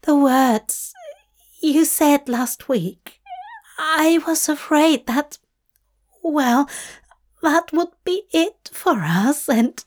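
A woman's voice: sits high, animated, drawn-out words, pauses often, voice pushed up, heavily acted. A woman voicing a timid, easily wounded male angel in a story, with a hesitant, pouting, fretful way of talking. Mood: pouting, fearful.

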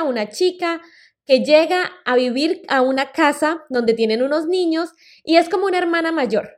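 A woman's voice: high-pitched, a little mellow. A woman in her thirties with a Colombian accent, chatting casually as she tells her own story on her solo podcast. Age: 30s. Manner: chatty and personal, uses fillers like "eh" and "pues". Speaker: podcast host.

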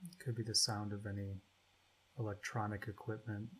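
Husky low-voiced man guiding meditation. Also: level tone, bright, forceful tone, low-quality recording. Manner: slow, spacious delivery with long pauses between phrases. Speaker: meditation guide. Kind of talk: guided meditation.

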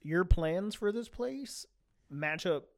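An American man with a voice a little higher than most talks as a guest on a casual podcast. He tells stories in an animated, informal way, slipping into quoted remarks as he goes. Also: slow pace, creaky. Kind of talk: casual chat, storytelling.

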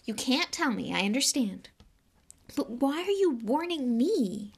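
A woman voicing an earnest, flustered young boy wizard who talks in hurried half-whispers and sometimes stammers. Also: sits high, very animated, gravelly, breathy edge, pitched above the performer's own voice, heavily acted. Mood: puzzled.

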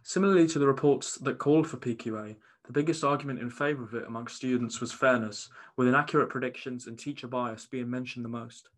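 A man co-hosting an education podcast, reading scripted links briskly and smoothly. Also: medium pitch, steady tone. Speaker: podcast co-host.

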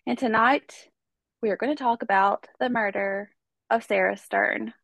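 A woman's voice: fairly high pitch, fairly even intonation, moderate pace, gravelly, fairly bright tone, no room sound. A woman hosting a podcast, telling a true-crime story in a chatty, casual way while stuffed up with a cold. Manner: chatty, conversational, many fillers. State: congested with a cold. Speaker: podcast host.

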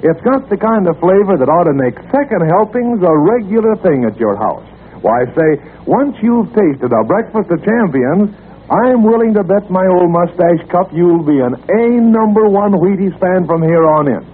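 A man's voice: high voice, animated, moderate pace. A man with an American accent announcing and narrating in an emphatic, dramatic 1940s radio style. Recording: tinny, noisy 1940s radio recording.

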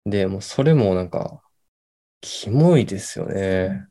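A man playing a late-night radio host who rambles haltingly in casual chat, in an amateur radio drama. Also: sits low, expressive, mellow. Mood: musing, rambling. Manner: halting, filler-heavy, then bursts of words.

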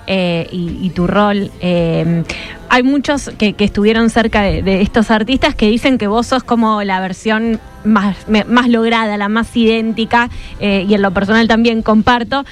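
A woman's voice: mid-range voice, fairly even intonation, normal speed, faint music behind. A woman with an Argentine Rioplatense accent asking questions in a casual radio interview.

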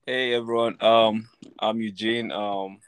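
A man's voice: lowish voice, little pitch movement, unhurried, clean tone, no room sound. A man from Kenya, a podcast guest, talking hesitantly with filler ums over a remote call. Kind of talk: casual chat.

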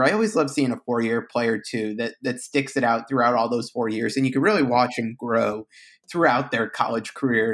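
A man in his late twenties giving measured, casual takes as a panelist. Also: medium pitch, a bit bright. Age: 20s. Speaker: podcast panelist.

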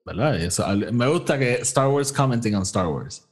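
A man's voice: medium pitch, animated, normal speed, husky. A man with a Puerto Rican accent hosting a casual, informal review chat, mixing English phrases into his Spanish. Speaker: podcast host.

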